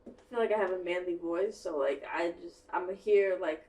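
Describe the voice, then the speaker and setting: A woman's voice: fairly low pitch, brisk, darkish tone. A woman co-hosting a podcast, casual with friends and playful, tossing in joking asides. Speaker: podcast co-host. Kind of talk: casual chat among friends. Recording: echoey room, mic at a distance, faint noise.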